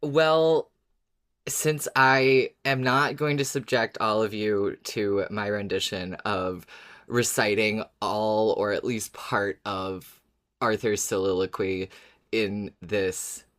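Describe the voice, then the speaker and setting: A podcast co-host in casual conversation, speaking thoughtfully and haltingly, building ideas piece by piece. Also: medium pitch, fairly even intonation, a bit bright.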